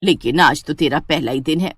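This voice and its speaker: Woman doing stern, lower-voiced older mother-in-law. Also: normal speed, slightly rough, no room sound, studio quality. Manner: scolding, weary authority. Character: stern, fed-up elderly mother-in-law running household.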